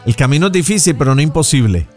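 Man, Puerto Rican accent, radio interviewer, slightly high pitch, informal, encouraging, faint music bed. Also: expressive, quick pace, a little mellow.

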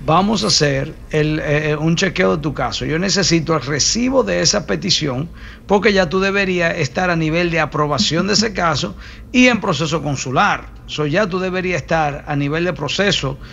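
A man's voice: a little high, moderate pace, gravelly, a bit bright, muffled recording, slight background noise. A man giving casual immigration advice on a call-in radio show, teasing and dropping in English words.

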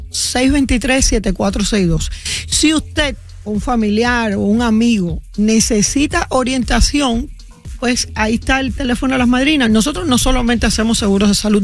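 A woman with a Cuban accent, a guest in an interview, talking fluently and persuasively with frequent hesitation fillers. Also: on the high side. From Cuba. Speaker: guest, insurance agency founder. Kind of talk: promotional interview talk.

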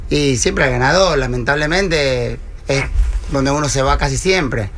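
Man, radio pundit, measured, analytical, bright tone. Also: mid-range voice, a little hiss or hum. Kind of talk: casual radio sports chat.

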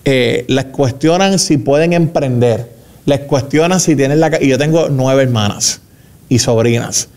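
A Puerto Rican man speaking as a government spokesman in a radio interview, measured and emphatic, with fillers and restarts. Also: medium pitch, darkish tone. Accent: Puerto Rican Spanish. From Puerto Rico.

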